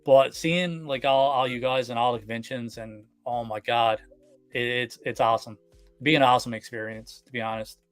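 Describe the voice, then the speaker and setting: Man from Canada, relaxed live chat, middle pitch, dry close sound, faint music. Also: normal speed, no echo. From Canada, Newfoundland. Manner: relaxed, chatty, frequent fillers. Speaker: guest collector.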